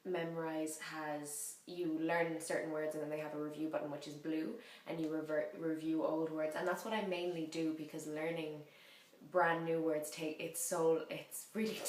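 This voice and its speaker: A half-French woman vlogging about learning a language in a casual, rambling, self-correcting way. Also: deep voice. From half French, French mother. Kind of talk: casual chatty vlog. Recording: roomy echo.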